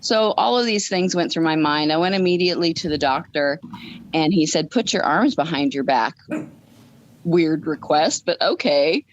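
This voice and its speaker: Female podcast guest, fifties, lower-pitched, emphatic conversational storyteller, slightly muffled lo-fi sound. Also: lively intonation, moderate pace, slight background noise. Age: 50s.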